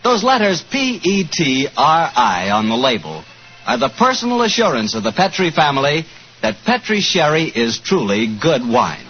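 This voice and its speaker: A man's voice: a little high, huge pitch swings, moderate pace, noisy background. A man announcing and reading sponsor messages on a 1940s radio show, polished and upbeat. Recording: muffled old radio broadcast under music.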